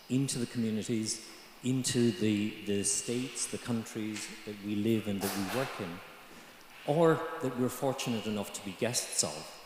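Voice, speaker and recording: An older man with an Irish accent speaking as a mature student on a conference panel, measured and hesitant with many ums. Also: fairly low pitch, darkish tone, a little hiss or hum. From Ireland. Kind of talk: panel discussion remarks. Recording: echoey conference hall.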